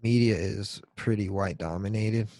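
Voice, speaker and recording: A man's voice: lowish voice, a little slow, warm tone, no echo. A man co-hosting a podcast in casual, joking chat, slangy and swearing now and then. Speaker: podcast co-host.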